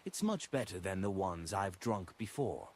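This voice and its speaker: Man playing languid young lady magician for an audiobook, knowing, raised lilting pitch, husky. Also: expressive, normal speed. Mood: pleased, knowing.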